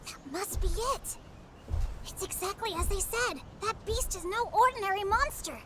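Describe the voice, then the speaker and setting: Very high squeaky little creature. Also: female voice, moderate pace, background noise. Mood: alarmed. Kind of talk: video game cutscene acting. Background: loud music.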